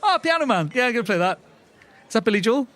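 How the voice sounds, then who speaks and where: A man with a noticeably high voice plays in a tabletop roleplay podcast. He improvises conversational, in-character dialogue. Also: sing-song, fast talker, fairly bright tone.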